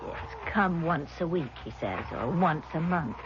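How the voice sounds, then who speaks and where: A woman's voice: deep voice, animated, measured pace. An actress playing an intense, grieving sister devoted to her dead brother, in 1970s radio drama style. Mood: defiant, devoted. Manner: melodramatic, intense. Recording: muffled, noisy vintage radio recording.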